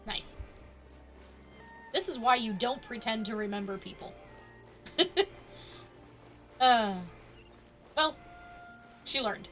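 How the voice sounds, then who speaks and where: A woman's voice: fairly high pitch, slow, pauses often, a bit bright, soft background music. A woman live streaming a game, giving casual commentary with dry, skeptical asides.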